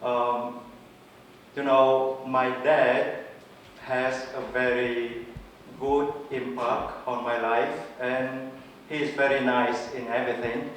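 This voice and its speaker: A Vietnamese-born man, a priest, speaking about his upbringing with a Vietnamese accent, unhurried and lightly humorous. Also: medium pitch, flat delivery, fairly bright tone, slight background noise. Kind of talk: personal testimony talk. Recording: echoey hall, mic far off.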